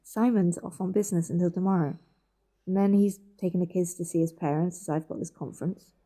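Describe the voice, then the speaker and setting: Woman acting a mellow-voiced, firm older sister. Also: fairly low pitch, steady tone, fairly quick, close to an everyday voice. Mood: coaxing. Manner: firm, coaxing, insistent. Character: Laura, protective, bossy older sister. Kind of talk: naturalistic audio drama acting.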